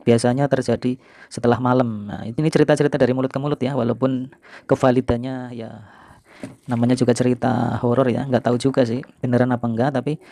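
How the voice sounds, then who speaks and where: A middle-aged man from Indonesia hosting a video, reminiscing to viewers in an informal, unhurried, explanatory way. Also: mid-range voice, mellow, dry recording. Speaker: video host and storyteller.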